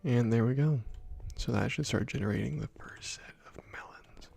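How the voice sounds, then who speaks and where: A young man hosting a gaming ASMR video, talking softly and slowly, often dropping to a whisper, with frequent ums. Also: medium pitch, gravelly, husky, faint music behind. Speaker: gaming ASMR channel host. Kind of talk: soft-spoken ASMR gameplay commentary.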